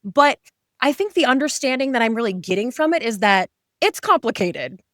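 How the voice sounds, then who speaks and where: A woman's voice: a little high, expressive, moderate pace, fairly bright tone, no echo, clean studio sound. A woman hosting a podcast, talking in a conversational interview style as she recaps a show. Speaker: podcast host.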